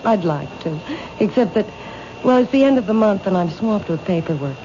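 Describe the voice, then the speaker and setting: An actress playing a polite, reserved bookshop owner in a 1970s radio drama, courteous and restrained. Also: female voice, medium pitch, lively intonation, normal speed, warm tone, natural voice. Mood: hesitant. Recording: dull old radio recording, light noise.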